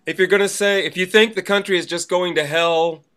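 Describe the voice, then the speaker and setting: A man's voice: high-pitched, steady tone, a little fast, sharp tone. A man chatting casually as a podcast co-host. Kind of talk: casual chat.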